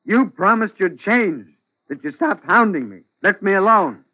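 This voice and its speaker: A husband at the end of his rope in a radio melodrama. His voice is fairly high and forceful, with big swings of intonation. The recording is dull and old. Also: big pitch swings, normal speed, full character voice. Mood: exasperated. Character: exasperated, tormented husband turning coldly desperate. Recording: muffled vintage radio recording.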